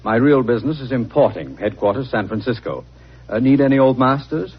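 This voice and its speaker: An actor playing a breezy, self-mocking gentleman importer and amateur sleuth, bantering urbanely in 1940s radio style. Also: medium pitch, lively intonation, a little fast, husky. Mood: playful, breezy. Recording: dull, noisy old radio recording.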